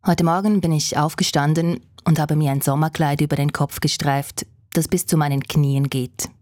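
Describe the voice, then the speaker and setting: Low-voiced woman hosting a podcast. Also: steady tone, normal speed, a little mellow, dry recording, studio quality. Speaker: podcast host. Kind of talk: scripted news podcast narration.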